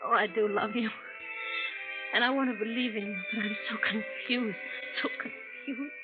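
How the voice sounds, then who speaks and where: An actress playing an earnest young nurse, gentle but firm, in a vintage radio drama. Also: female voice, on the high side, fairly even intonation, normal speed, close to an everyday voice. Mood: pleading. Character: young woman nurse, earnest, lovestruck, suspicious. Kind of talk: 1940s radio acting. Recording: tinny old radio broadcast, loud music.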